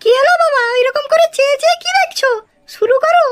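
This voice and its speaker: An actor voicing a squeaky, fussy, doting female in-law in a comedy cartoon. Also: quick pace. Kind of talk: Bengali comedy cartoon dialogue. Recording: loud noise behind the voice.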